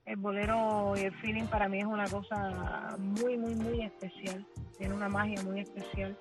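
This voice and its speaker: A woman in her thirties, a musician whose first language is English, talking warmly in a radio interview and often repeating words as she finds them. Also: mid-range voice, little pitch movement, moderate pace, music in the background. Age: 30s. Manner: warm, chatty, repeats words while finding them. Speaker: musician guest.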